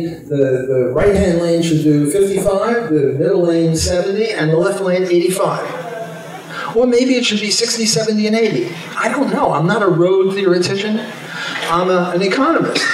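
A man's voice: high voice, recorded from a distance, slight background noise. An older man, an economist, giving an informal, chatty convention talk on a handheld mic, with wry, self-amused asides. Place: large hotel ballroom stage. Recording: echoey ballroom from the camera.

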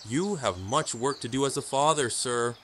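An actor playing a solemn, stern hermit keeper of a sacred order, speaking formally in an audio drama. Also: male voice, medium pitch, normal speed, fairly bright tone, a little hiss or hum, natural voice. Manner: grave, portentous, formal.

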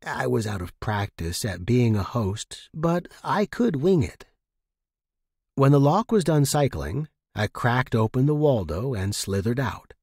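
A man with a husky voice reading a first-person fiction story as a scripted narration. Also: medium pitch, darkish tone, no echo, studio quality.

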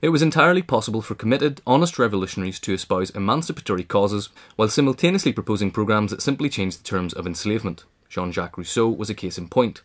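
A man's voice: lowish voice, expressive, fast talker, hardly any pauses. A man reading scripted nonfiction narration as an audiobook reader. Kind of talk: scripted narration of a political history essay.

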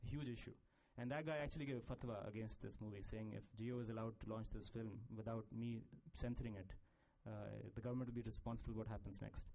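Halting man speaking on a panel. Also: fairly low pitch, fairly even intonation, mellow, low-quality recording. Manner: halting, many fillers and restarts. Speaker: panelist, film producer. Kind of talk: conference panel talk.

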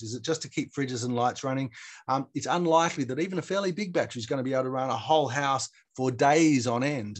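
Quick-talking man, informal presenter. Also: mid-range voice, husky. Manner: informal, plain-spoken, explanatory. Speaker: presenter from an energy foundation. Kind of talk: webinar presentation, conversational explaining.

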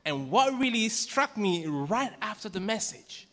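A man's voice: high-pitched, sing-song, a little slow, a bit bright. A middle-aged man preaching a sermon from a pulpit microphone in a church, asking the congregation questions and rising to emphatic peaks. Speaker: pastor. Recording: long church hall reverb.

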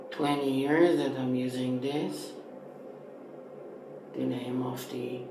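A man with an Iranian accent giving a casual hands-on tutorial in short, halting phrases. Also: mid-range voice, vocal fry. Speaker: electronics hobbyist presenter. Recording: roomy echo with faint noise.

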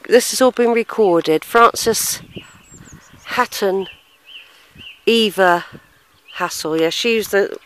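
Middle-aged woman, casual walking vlog, recorded on a handheld camera outdoors. Mid-pitched, hesitant and musing, given to sighs. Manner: musing, hesitant, sighs, reads gravestones aloud. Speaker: family history vlogger. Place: outdoor churchyard in the countryside. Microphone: handheld camera, unseen narrator behind it.

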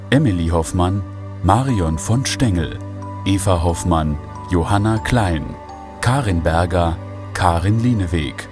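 A man reading a scripted announcement as an audio drama announcer, formal and clearly articulated. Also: low-pitched. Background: music.

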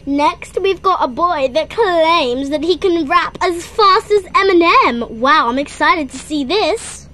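A woman's voice: very high voice, expressive, slow, hardly any pauses, sharp tone. A woman hosting a toy talent show skit at home in a bubbly announcer manner, on a camera mic. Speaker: show host narrator.

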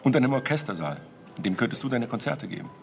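A man playing a smooth, haughty count in a crime drama, persuasive and self-important. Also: mid-range voice, big pitch swings, fast, faint music behind. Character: smooth-talking, haughty male count and property promoter. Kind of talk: German TV crime drama acting.

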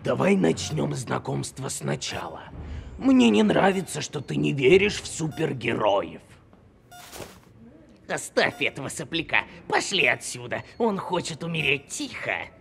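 Two-headed comic-book superhero, gravelly, slow, swooping, mocking, audio drama. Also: male voice, fairly high pitch, big pitch swings, fairly bright tone, a little hiss or hum, exaggerated voice. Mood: grumpy, dismissive.

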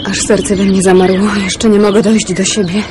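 An actress playing a tender, devoted young fiancée in a radio drama, intimate and playfully chiding. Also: female voice, mid-range voice, normal speed, noisy background, lightly acted. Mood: shaken, relieved. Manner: intimate, expressive. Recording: clear birdsong behind.

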